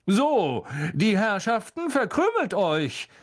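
A reader voicing a vain, pompous male teacher in a storybook, booming and condescending. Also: wildly swooping pitch, unhurried. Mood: jovial, showy.

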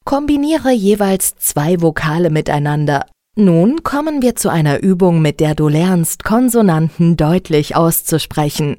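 A woman giving a speech training lesson, talking in a clear, deliberate, carefully articulated way. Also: lowish voice, animated, mellow, dry recording, studio quality. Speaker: speech coach. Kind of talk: instructional speech exercise tutorial.

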